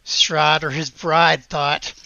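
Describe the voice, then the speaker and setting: A man playing a reserved villager in a tabletop game, speaking haltingly with measured, formal wording. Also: on the high side, little pitch movement, creaky, pushed and bright, no echo, dull audio, heavily acted. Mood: guarded, halting.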